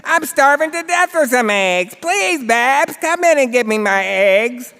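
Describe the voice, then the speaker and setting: A man's voice: very high voice, expressive, measured pace, pushed and bright, clear, resonant tone, pitched above the performer's own voice, exaggerated voice. A man voicing a whiny, begging old mother who is starving for eggs, read live in a reverberant hall. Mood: whining, frantic. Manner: wailing, begging.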